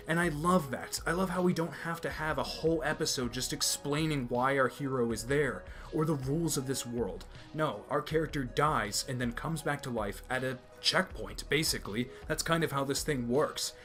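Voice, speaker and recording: A young man from America reviews an anime series, emphatic and opinionated, circling back to restate his points. His voice sits at a medium pitch. A faint music bed plays underneath. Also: normal speed, few pauses, a bit bright. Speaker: anime video host. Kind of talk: enthusiastic review commentary.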